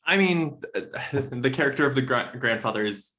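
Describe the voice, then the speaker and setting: A man speaking as a podcast guest, discussing fiction casually in an emphatic, halting way. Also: medium pitch, expressive, pushed and bright. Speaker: podcast guest, literary editor. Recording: roomy, echoey.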